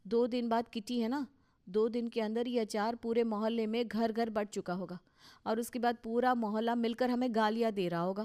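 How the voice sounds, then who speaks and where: Cartoon daughter-in-law voiced by a woman: young, respectful, anxious, earnest, fairly high pitch. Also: steady tone, normal speed, a little mellow, no echo, clean studio sound, close to an everyday voice. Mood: anxious, questioning. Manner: earnest, questioning. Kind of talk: Hindi cartoon story dialogue.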